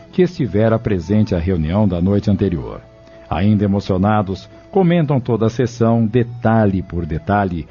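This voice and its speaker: A dull recording with soft music behind a Brazilian man narrating an audio novel. His voice is dark and lowish, and he tells the story in a formal, literary way.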